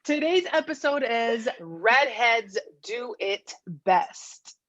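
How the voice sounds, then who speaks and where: A woman's voice: on the high side, lively intonation, slow, a bit bright, no room sound. A woman co-hosting a podcast with casual comedic banter, playfully mock-dramatic. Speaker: podcast co-host. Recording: dull, dry audio.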